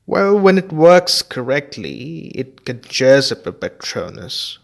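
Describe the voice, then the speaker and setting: A man voicing a kindly adult male wizard teacher who speaks slowly and emphatically. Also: medium pitch, big pitch swings, vocal fry, voice pushed up, full character voice. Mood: instructive. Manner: slow, emphatic and instructive.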